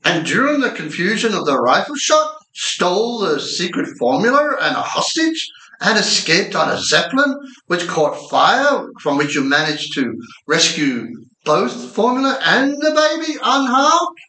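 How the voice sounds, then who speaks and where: A man's voice: high-pitched, big pitch swings, a little slow, pushed and bright, exaggerated voice. An amateur actor playing a folksy patrolman in a community radio play, recorded in an echoey room. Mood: amazed. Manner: wondering, folksy. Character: folksy, amazed male beat patrolman.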